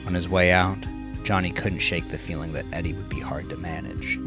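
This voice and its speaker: A man reading scripted dark crime fiction as a narrator, with measured, deliberate and dramatic phrasing that draws out the ends of lines. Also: sits low, steady tone, over music.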